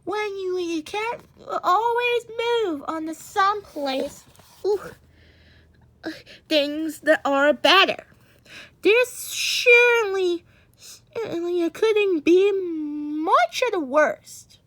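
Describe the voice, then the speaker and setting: A woman reads as an earnest mother otter in a storybook. The voice is very high and bright, hopeful and halting in its phrasing. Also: exaggerated voice. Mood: tearful, wistful. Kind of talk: storybook character voice.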